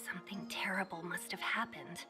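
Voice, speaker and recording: A woman's voice: lowish voice, fairly even intonation, moderate pace, lightly acted. A woman voicing a courteous, cautious young guide in a video game, explaining things formally and politely. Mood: grave. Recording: background music throughout.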